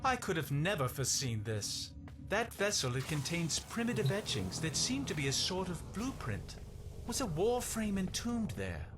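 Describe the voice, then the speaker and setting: An actor playing a haughty, greedy relic dealer in a video game, speaking in a pompous, theatrical, disdainful way. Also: male voice, sing-song, normal speed, over music. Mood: eager fascination. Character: haughty, greedy male relic dealer scornful of local culture. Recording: noisy game sound, loud rumbling ambience.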